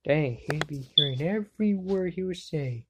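A woman's voice: sits low, animated, normal speed, heavy background noise. A woman voicing a brash, cocky, hot-headed young sidekick in an anime fan dub, slangy, mouthy and exclamatory, on an amateur home recording. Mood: grumbling, muttering. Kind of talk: anime fan-dub acting, solo voice clips.

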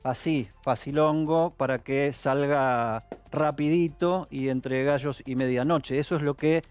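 A man's voice: medium pitch, fairly even intonation, a little slow, a little mellow, smooth, soft background music. A man with an Argentine accent, co-hosting a studio news panel in a folksy, colloquial way. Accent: Argentine Spanish. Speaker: news co-host.

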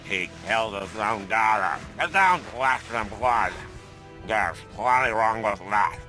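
An actor playing a stern, hostile small-town constable in a video game, with a folksy, threatening manner. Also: male voice, on the low side, lively intonation, takes it slowly, pushed and bright, soft background music, heavily acted. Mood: cold warning. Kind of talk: video game cutscene acting.

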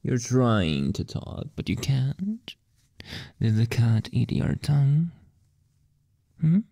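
Slow, gravelly whispering young man. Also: mid-range voice, big pitch swings, dry recording, clean studio sound. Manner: slow, teasing, breathy whispers with soft chuckles. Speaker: ASMR roleplay creator. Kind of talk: whispered ASMR roleplay as a teasing boyfriend. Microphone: close microphone.

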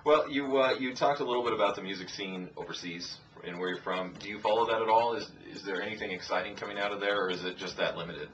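Lowish guy doing a casual interview, muffled sound, slight noise. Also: fast, few pauses, fairly bright tone. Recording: dull, distant, faintly noisy interview audio.

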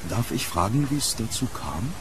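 An actor playing a troubled, courteous gentleman archaeologist haunted by his past, speaking formally and broodingly in an audio drama. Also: medium pitch, big pitch swings, normal speed, background noise, close to an everyday voice. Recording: rainy street ambience, thunder.

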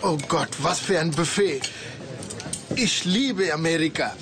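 A man's voice: on the high side, unhurried, over music. A man dubbing a gleeful, enthusiastic young Indian man in a sitcom. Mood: delighted. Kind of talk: German-dubbed sitcom dialogue. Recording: TV soundtrack, crowd chatter, loud noise.

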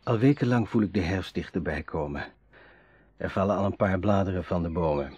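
A man's voice: low-pitched, brisk, a little mellow, slightly rough, no room sound, dull audio. An actor playing an eloquent, sentimental dreamer in a radio drama, savouring his phrases theatrically. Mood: wistful.

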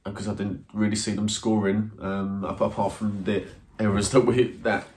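Low, flat-voiced man reviewing a match. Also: moderate pace. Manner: hesitant, many ums and restarts, earnest. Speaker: football fan reviewer. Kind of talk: post-match review to camera. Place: small indoor room, plain wall. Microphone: camera in front, seated.

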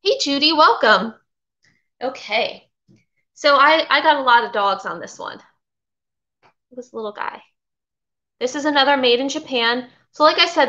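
A woman's voice: high voice, bright, forceful tone. A middle-aged woman from Florida hosting a casual live stream from her home kitchen, rambling and reading out viewer comments. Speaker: vintage seller hosting a live haul. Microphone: webcam at desk. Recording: slightly dull lo-fi webcam audio.

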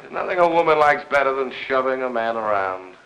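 A man's voice: medium pitch, animated, fairly quick, fairly bright tone, poor audio. An American actor in his thirties playing a cocky, flirtatious, wisecracking military pilot in a 1950s Hollywood film. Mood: wry. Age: 30s. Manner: wisecracking, smooth-talking.

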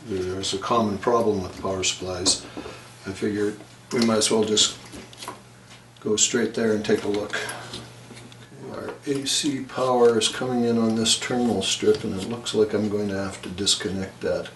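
Low-voiced man in an echoey workshop. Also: fairly even intonation, moderate pace, fairly bright tone. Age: middle-aged. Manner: matter-of-fact, thinking aloud in short remarks. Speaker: repair technician and presenter. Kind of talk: casual hands-on repair commentary while working. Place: indoor electronics repair workshop. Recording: roomy, distant mic, workshop noise.

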